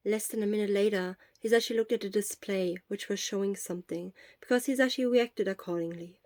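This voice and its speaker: A woman's voice: medium pitch, fairly quick, a little mellow, no echo. A woman reading scripted story narration as the narrator of a fan fiction audiobook. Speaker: podfic narrator.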